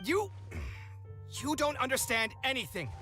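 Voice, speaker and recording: An actor playing an exasperated, kind-hearted young man in a video game, theatrical and quick to take offence. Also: very high-pitched, soft background music, exaggerated voice. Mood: indignant. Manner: theatrical, indignant, expressive.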